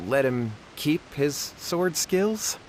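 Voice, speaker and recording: A man's voice: on the high side, expressive, slow pace, a little hiss or hum, low-quality recording. A man voicing a nervous young man in a video game, stammering and flustered. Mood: pleading. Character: nervous young man, scheming and easily cowed.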